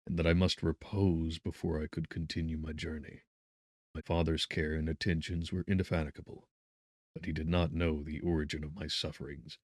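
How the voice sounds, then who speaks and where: A man narrating a gothic horror novel in a brooding, theatrical manner, swelling with emphasis and dropping to hushed tones. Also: very deep voice, no room sound, studio quality. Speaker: audiobook narrator.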